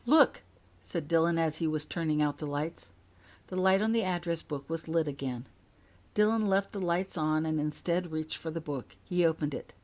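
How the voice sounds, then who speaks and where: A woman's voice: low voice, normal speed, darkish tone. A woman narrating a scripted story as an audiobook, reading her own fiction.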